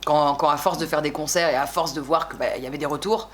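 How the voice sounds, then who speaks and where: A young woman in her twenties from France, a singer chatting casually in an interview, relaxed and slangy, rambling with frequent little asides. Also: low-pitched, fast, slight background noise. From France (Bordeaux).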